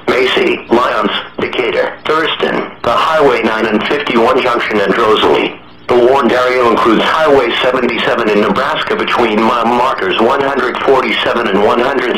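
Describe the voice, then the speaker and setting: A synthetic male voice reading formal emergency weather alerts over the radio in a measured, impersonal way. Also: mid-range voice. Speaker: automated weather radio announcer. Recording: echoey, noisy weather radio broadcast with clicks.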